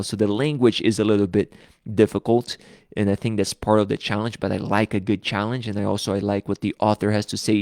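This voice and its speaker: Low gravelly Brazilian-accented man, chatting. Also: darkish tone, no room sound, studio quality. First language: Portuguese. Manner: open and conversational, with frequent restarts. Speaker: host of a live book-reading series. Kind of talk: casual live-stream talk.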